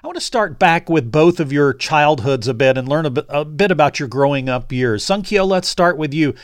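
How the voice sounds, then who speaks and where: A man's voice: fairly high pitch, animated, a little fast, clear, resonant tone, no room sound, studio quality. A man hosting a faith interview podcast, earnest and reflective, drawing out key phrases. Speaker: host.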